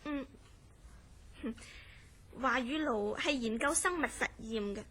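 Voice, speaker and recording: An actress playing a concerned young woman in a Cantonese horror radio drama, telling gravely of her troubled friend with a Hong Kong accent and measured, polite delivery. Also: high-pitched, fairly bright tone, a little hiss or hum. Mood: earnest, grave.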